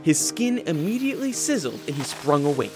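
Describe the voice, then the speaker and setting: A man's voice: on the high side, very animated, fairly quick, music in the background. A man narrating a scripted radio drama in a storytelling style.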